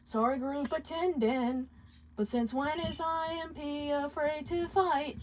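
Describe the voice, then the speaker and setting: Demon underling, played by a woman: male, defiant, theatrical, high-pitched, given to smug sung comebacks. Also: steady tone, slow, a little hiss or hum, rough recording. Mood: smug defiant singing.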